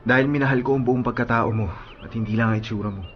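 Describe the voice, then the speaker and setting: A man's voice: medium pitch, fairly even intonation, quick pace, lo-fi sound, a little hiss or hum, close to an everyday voice. A man playing a devoted young boyfriend in a radio drama, earnest and tender, making flowery declarations of love.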